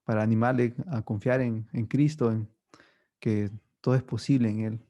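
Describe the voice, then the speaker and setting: A man's voice: medium pitch, normal speed. A man hosting a faith podcast in casual chat, hesitant and full of fillers. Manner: relaxed, hesitant, many fillers like "bueno" and "¿no?". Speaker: podcast host.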